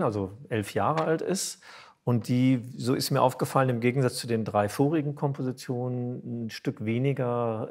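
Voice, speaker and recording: A man's voice: medium pitch, a little mellow. A man moderating a semi-formal stage interview, feeling his way through his phrasing with hesitation.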